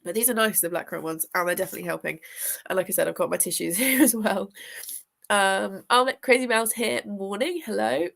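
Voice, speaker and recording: Woman, young, congested, sniffly, gravelly, chatty live-stream host. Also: mid-range voice, a little fast, a bit bright. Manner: chatty and rambling, lots of fillers, greets viewers. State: congested with a chest infection, coughing and sniffly. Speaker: booktuber hosting a live reading sprint; teacher. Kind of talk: casual live-stream chat.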